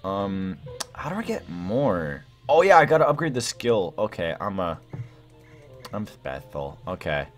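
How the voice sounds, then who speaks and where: A young man talks through a game in a slightly low voice that jumps around a lot in pitch. He is excitable and slangy, thinking out loud as he plays. Faint music runs behind his casual commentary. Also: unhurried. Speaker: gaming video host.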